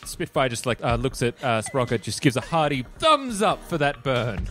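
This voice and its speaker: Man, medium pitch, animated delivery, joking player banter, casual, faint music underneath. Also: moderate pace. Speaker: tabletop game player.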